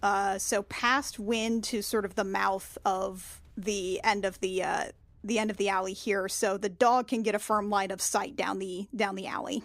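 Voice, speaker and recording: A woman's voice: medium pitch, steady tone, measured pace, no echo, studio quality. A woman speaking informally and playfully as a player in a tabletop roleplaying game, describing what her character does. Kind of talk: casual in-game chat, describing character's actions.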